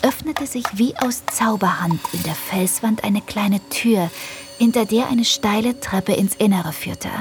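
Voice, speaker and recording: A woman's voice: medium pitch, a little slow. A woman narrating a children's audio drama in a scripted storybook style. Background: music.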